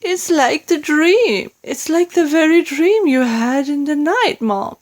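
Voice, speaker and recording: A woman's voice: high voice, lively intonation, slow, pitched above the performer's own voice. A woman reading aloud voices a timid older maid who talks in a respectful, stammering way. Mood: quivering.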